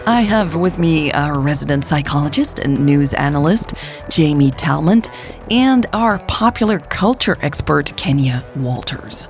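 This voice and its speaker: Woman acting a polished, low-voiced news panel presenter. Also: lively intonation, measured pace, soft background music. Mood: formal, brisk.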